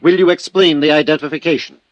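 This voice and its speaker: An actor playing a formal, methodical district attorney in a 1950s radio drama, questioning in a courteous, pointed way. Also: male voice, fairly high pitch, fairly even intonation, fast talker, no echo, lo-fi sound, close to an everyday voice. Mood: formal, probing.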